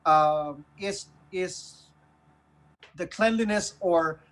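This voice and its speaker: A man with a Filipino accent casually discussing the news as a radio host, in a halting, earnest way that often repeats phrases. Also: high-pitched, fairly bright tone, low-quality recording. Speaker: online radio show host.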